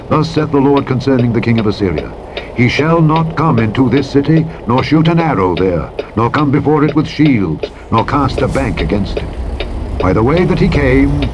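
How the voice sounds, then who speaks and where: A man's voice: medium pitch, sing-song, moderate pace. A man narrating scripture from a script in a solemn, formal manner. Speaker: audiobook Bible narrator. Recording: vehicle engine noise behind the voice. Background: truck driving sounds from a simulator game.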